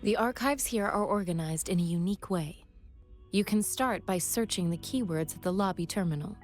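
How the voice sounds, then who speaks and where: A woman's voice: medium pitch, normal speed, soft background music, close to an everyday voice. A woman voicing a composed, precise researcher in a video game, speaking formally and methodically. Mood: composed, informative. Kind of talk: video game character dialogue.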